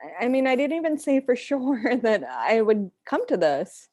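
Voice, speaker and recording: A woman's voice: a little high, big pitch swings, moderate pace, a little mellow, dry recording, studio quality. A woman acting an exasperated, principled girlfriend in a table read, frank and reproachful. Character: exasperated, principled girlfriend calling out her partner.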